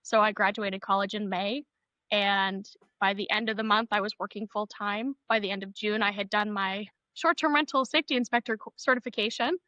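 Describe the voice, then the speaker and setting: Bright upbeat young woman from Maine. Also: medium pitch, steady tone, a little fast, dry recording, low-quality recording. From Maine, USA. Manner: upbeat, descriptive storyteller. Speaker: podcast guest, rental manager. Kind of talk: casual interview chat. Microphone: remote video call.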